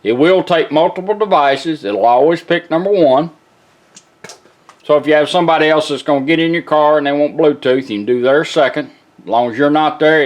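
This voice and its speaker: A man giving a casual, plain-spoken hands-on product demonstration in an informal way. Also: a little high. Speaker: car radio restorer demonstrating product.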